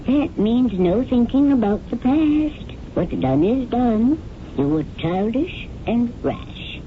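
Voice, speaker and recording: A woman's voice: on the high side, lively intonation, slow. An actress playing an older motherly companion who fondly scolds a young actress, in a radio drama. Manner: fond, gently scolding. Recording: tinny hissy old radio broadcast.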